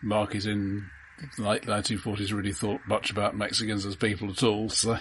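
A man's voice: on the low side, completely flat delivery, a little fast, fairly bright tone, a little hiss or hum. A man co-hosting a casual film discussion in a matter-of-fact way. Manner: matter-of-fact, interjects corrections. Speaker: film podcast host.

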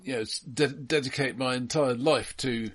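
A man's voice: medium pitch, moderate pace, slightly rough. A man co-hosting a film podcast, discussing casually and adding qualifications as he goes.

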